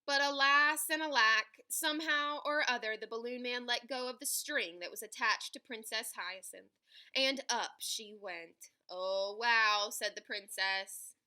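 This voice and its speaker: A woman reading a children's picture book aloud in an animated, dramatic way, stretching key words for emphasis. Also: a little high, unhurried, clear, resonant tone. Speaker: read-aloud storyteller.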